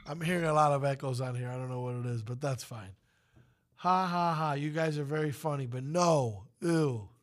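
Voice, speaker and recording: A man's voice: medium pitch, normal speed. A man hosting a morning radio show, chatting casually and joking with his co-hosts.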